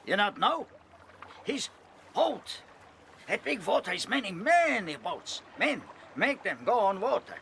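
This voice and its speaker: A man's voice: sits high, very animated, a little slow, bright, forceful tone, a little hiss or hum, exaggerated voice. A man playing a blunt, helpful seagull in a radio drama, speaking broken English in short squawks with a foreign accent. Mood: matter-of-fact.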